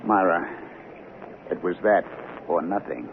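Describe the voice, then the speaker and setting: An actor playing a meek, hesitant husband who stammers and defers in an old-time radio drama. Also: male voice, low voice, expressive, a little slow, gravelly, full character voice. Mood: resigned, defensive. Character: meek, hesitant husband, a loyal working salesman. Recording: noisy, tinny old radio broadcast.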